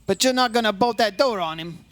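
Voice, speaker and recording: A man's voice: high-pitched, a little fast, a bit bright, a little hiss or hum. A man playing a straight-talking, inquisitive insurance investigator in an old-time radio detective drama, in plain, clipped phrases. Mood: probing, casual.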